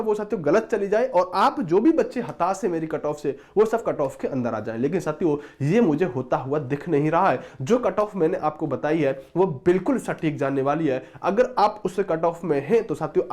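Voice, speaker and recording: A man's voice: high-pitched, darkish tone. Man explaining exam news. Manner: repeatedly addresses viewers as friends. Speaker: exam-prep teacher and presenter. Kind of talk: informal exam-news explainer, reading out a notice.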